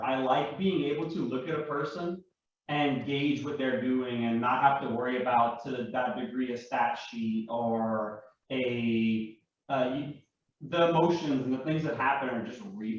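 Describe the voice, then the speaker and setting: Male hobbyist panel guest, casual storyteller, heavily echoing, muffled distant recording. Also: mid-range voice. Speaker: live action roleplay enthusiast, panel guest. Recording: very echoey room, distant mic, muffled.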